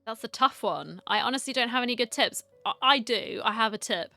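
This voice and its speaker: A woman's voice: fairly high pitch, brisk, gravelly, pushed and bright, soft background music. A woman streamer giving casual, playful, teasing commentary as she plays through a game. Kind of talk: casual reaction commentary, reading dialogue aloud.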